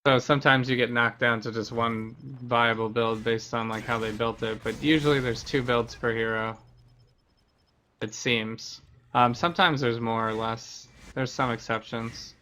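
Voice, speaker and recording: Bright-voiced man streaming, fairly flat intonation. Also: mid-range voice, unhurried, a little hiss or hum. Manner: explanatory, conversational. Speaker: game streamer. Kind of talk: casual gameplay commentary.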